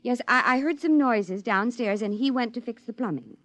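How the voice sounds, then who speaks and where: An actress playing a worried, puzzled young wife in a 1950s radio play. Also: female voice, fairly high pitch, moderate pace, a bit bright, dry recording, lightly acted. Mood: uneasy.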